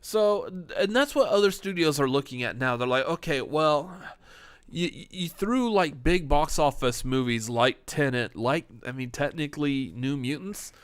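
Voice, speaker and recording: A man with a loud, radio-style voice that comes through smooth and resonant. He sounds tired, running on the last of his energy, and the sound is close and dry with no room around it. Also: fairly high pitch, lively intonation, moderate pace, no echo. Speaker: podcast co-host. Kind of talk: casual chat on a live stream.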